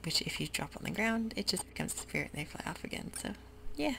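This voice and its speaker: A close-miked whispering voice, mostly breath with a gravelly, fry-laden edge where it turns voiced. The delivery wanders gently with many pauses and fillers, like a relaxed ASMR game tour. A steady hiss and the click of a computer keyboard sit behind it. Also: medium pitch. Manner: soft mostly unvoiced whisper; hesitant, meandering, with many fillers. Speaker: gameplay ASMR host.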